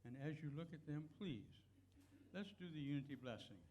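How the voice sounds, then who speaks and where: Higher-voiced man addressing a church congregation. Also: lively intonation, fairly quick, a little mellow. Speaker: church service leader giving blessings and announcements. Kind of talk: church blessing and announcements.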